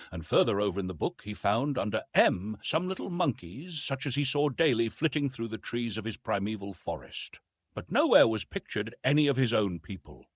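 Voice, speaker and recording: A man narrating a classic adventure novel as an audiobook, with expressive, dramatic storytelling delivery. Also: mid-range voice, slightly rough, no room sound.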